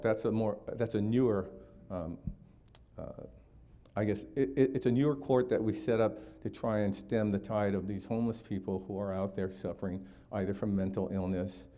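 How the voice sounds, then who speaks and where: A man's voice: low-pitched, warm tone. A man in his sixties from Hawaii, giving a community talk for a district attorney's office, explaining steadily with frequent fillers.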